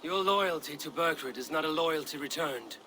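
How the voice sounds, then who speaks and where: An actor playing a resolute, honorable Viking warrior leader in a video game, commanding, measured and blunt. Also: male voice, fairly high pitch, sharp tone. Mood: earnest, grave. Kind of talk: dramatic video game acting. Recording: clear ambient background noise.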